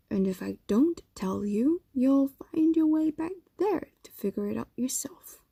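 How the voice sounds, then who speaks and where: Woman doing a clingy fox demon girl for audio rp, soft breathy whispery, takes her time, super dry close sound. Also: high voice, dry recording, heavily acted. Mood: reluctant, resigned. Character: possessive, evasive female fox demon doting on captive human. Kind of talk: intimate audio roleplay monologue.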